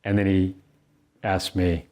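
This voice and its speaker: A middle-aged man reading his own book, telling a personal story in an earnest, conversational way with thoughtful pauses. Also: low-pitched, level tone, darkish tone.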